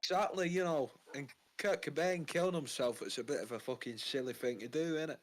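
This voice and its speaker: A man's voice: on the high side, a little fast. A young man from Fife with a Scottish accent touched by Mancunian, talking casually as a rock frontman, boastful and swearing freely. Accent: Fife Scottish with some Mancunian. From Scotland. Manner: laid-back, swearing, boastful. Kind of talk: casual interview.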